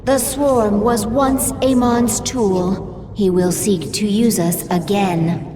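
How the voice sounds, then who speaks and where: A solemn, devoted alien servant, played by a woman in a game cutscene. She speaks slowly and formally at a medium pitch, with music clearly behind her. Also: steady tone, dark tone, natural voice. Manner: formal, deferential, measured. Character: devoted female alien adviser, solemn and protective. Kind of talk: dramatic video game cutscene acting.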